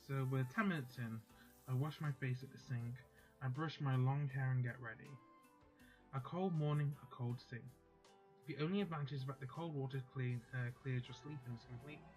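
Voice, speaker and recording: A man's voice: mid-range voice, brisk, a little mellow, poor audio. Man reading game text over faint music. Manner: reads steadily, stumbles and corrects himself mid-line. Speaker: gaming YouTuber reading a visual novel aloud. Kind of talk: casual read-aloud of game text. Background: faint background music.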